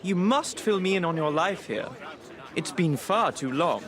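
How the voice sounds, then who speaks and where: A polite chummy gentleman, lilting a bit high, people chattering behind. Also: lively intonation, a little slow, a crowd in the background. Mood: genial.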